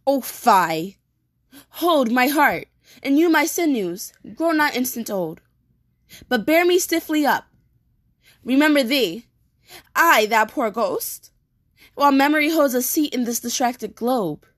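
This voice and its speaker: A woman playing an anguished, vengeful young prince in a declamatory Shakespearean stage monologue with dramatic pauses. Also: sits high, expressive, no echo, clean studio sound. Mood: anguished, appalled. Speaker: performer rehearsing a soliloquy. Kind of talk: theatrical Shakespearean monologue, repeated takes.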